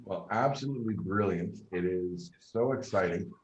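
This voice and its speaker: A man's voice: sits low, normal speed, reverberant, dull audio. A man hosting a casual video interview, gushing with enthusiasm about his guests. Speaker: host.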